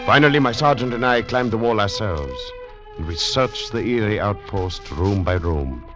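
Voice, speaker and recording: A man's voice: on the low side, animated, normal speed, natural voice. An actor playing a grave, shaken French Foreign Legion commandant telling his story in dramatic 1940s radio style. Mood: grim suspense. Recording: old radio recording, dull, with music.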